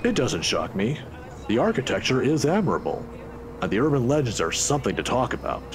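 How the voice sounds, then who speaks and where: A man voicing a genial, inquisitive older university professor in a video game, polite and gently teasing. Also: mid-range voice, big pitch swings, a little fast, background noise. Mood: calm, curious.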